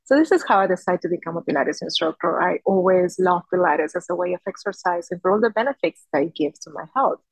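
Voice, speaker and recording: A woman in her thirties from Peru, whose first language is Spanish, talks as an interview guest. Her voice is on the low side and a little husky, with a dry sound. She tells her own story conversationally. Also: fast talker, few pauses, dry recording, low-quality recording. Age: 30s. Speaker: podcast guest, Pilates studio founder.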